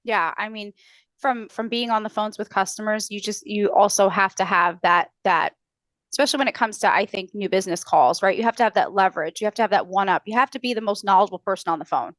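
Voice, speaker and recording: Woman, trainer, conversational advice, explanatory, medium pitch, close dry sound. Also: quick pace, fairly bright tone, dry recording. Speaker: customer service trainer, podcast guest.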